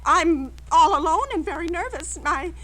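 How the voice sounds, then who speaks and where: A woman's voice: very high-pitched, lively intonation, normal speed, a bit bright, poor audio. An actress playing a nervous, demanding, self-pitying middle-aged invalid wife in an old radio play, stammering and imperious in her agitation. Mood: fretful, insistent. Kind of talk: 1940s-50s radio play acting. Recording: hissy old radio drama recording.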